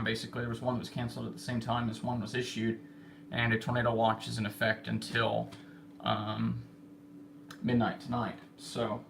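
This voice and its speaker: An American man with a creaky, gravelly voice talks casually to a livestream. He speaks haltingly, thinking aloud as he works at his desk. The amateur recording carries clear background noise. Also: medium pitch. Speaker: livestreamer spotting severe weather. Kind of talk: casual livestream commentary while logging warnings. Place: indoor room with computer and radio equipment. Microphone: phone set in a fixed spot. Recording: noisy amateur livestream with room hiss.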